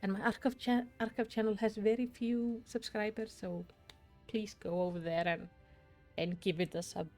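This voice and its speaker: A woman from Iceland with an Icelandic accent, chatting casually and warmly on her gaming stream. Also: mid-range voice, fairly even intonation, moderate pace, faint music behind. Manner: chatty and friendly, with restarts.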